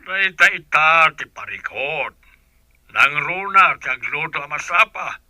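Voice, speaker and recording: A man's voice: a little high, animated, measured pace, bright, forceful tone, no room sound, full character voice. A man acting a concerned, reproving older father-in-law in a radio drama, earnest and questioning.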